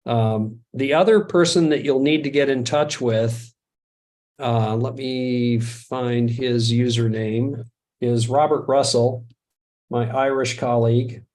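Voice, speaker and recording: A man's voice: medium pitch, fairly even intonation, slow, darkish tone. A middle-aged American man hosting a live webinar in a casual, chatty way, joking at his own expense and chuckling often. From United States. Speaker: webinar host.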